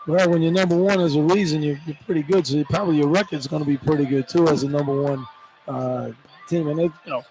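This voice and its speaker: A male radio color analyst talks a little quickly in a somewhat high voice, with faint arena noise behind him. His live sports analysis is informal and conversational, circling back to repeat his points. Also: steady tone, darkish tone, rough recording. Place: inside a basketball arena, broadcasting live.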